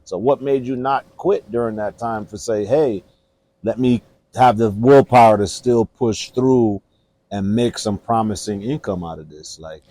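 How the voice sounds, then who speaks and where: A man's voice: lowish voice, a little slow, no room sound. A man hosting an interview podcast, encouraging, often turning to his listeners and tacking "man" onto his lines. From Jamaica. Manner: encouraging, talks to listeners, says "man" a lot, loud laughs. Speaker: podcast host. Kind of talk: conversational interview.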